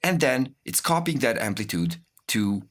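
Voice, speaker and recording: A man giving a software tutorial as an instructor, explaining step by step while he demonstrates. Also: medium pitch, big pitch swings, moderate pace. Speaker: music production instructor.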